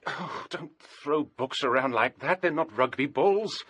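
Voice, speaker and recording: Bright-voiced, pedantic young British scholar. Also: male voice, on the high side, expressive, a little slow, slightly rough, full character voice. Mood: indignant, fussy. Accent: British English. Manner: pedantic, precise. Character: Monty, scholarly, self-assured, fussy young gentleman. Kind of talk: British radio drama acting.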